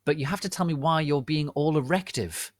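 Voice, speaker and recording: A man's voice: fairly high pitch, a little fast, no echo, clean studio sound, pitched above the performer's own voice, close to an everyday voice. A man voicing a melodramatic, foul-mouthed gothic teenage girl in an indignant, exclamatory way for a story reading. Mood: demanding.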